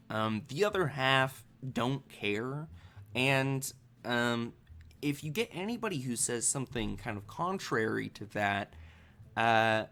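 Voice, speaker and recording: A man works as game master, improvising tabletop narration. His voice is creaky and middling in pitch. He talks casually and haltingly. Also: pushed and bright. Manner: casual, halting, filler-heavy.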